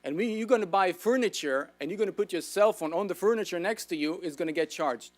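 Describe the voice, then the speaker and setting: A man's voice: high-pitched, quick pace, soft background music. A man with an Israeli accent, lecturing an audience with enthusiasm and asking them questions. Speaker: speaker.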